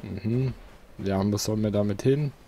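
A man's voice: fairly low pitch, normal speed, dark tone, a little hiss or hum. A man giving casual gameplay commentary, muttering to himself as he plays. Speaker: let's player.

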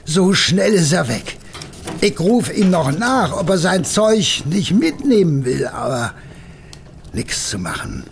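Boastful berlin working man in an audio play, wheedling, kinda high voice that swings around a lot. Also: very animated, slow pace, creaky, slight background noise, heavily acted. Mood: defensive. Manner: colloquial, wheedling, hesitant storytelling. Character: cheerful working-class man, tipsy, good-natured, boastful husband. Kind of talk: German audio drama acting.

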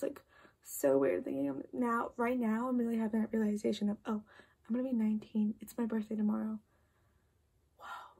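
A woman's voice: a little high, vocal fry, dark tone, husky. An eighteen-year-old woman chatting to camera late at night in her bedroom, tired and rambling with lots of "like" and "literally". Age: 18, about to turn 19. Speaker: vlogger. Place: bedroom at home, indoors.

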